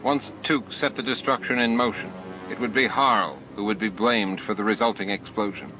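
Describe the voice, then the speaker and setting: A man narrates a novel in a low voice. It is a scripted audiobook reading. The recording is dull, with music underneath. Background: added music and sound effects.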